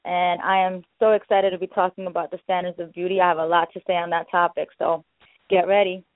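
A woman's voice: lowish voice, level tone, a little fast, dry recording. A woman born in India and raised in the Bronx, an actress, speaking eagerly and confidently on a radio panel. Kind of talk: call-in radio panel talk. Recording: dry narrow phone line.

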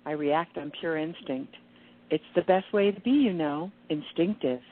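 Radio play role: gushing, theatrical female singer, played by a woman, lower register, phone-like lo-fi sound. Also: moderate pace. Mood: gushing, charming. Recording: tinny phone-like lo-fi audio.

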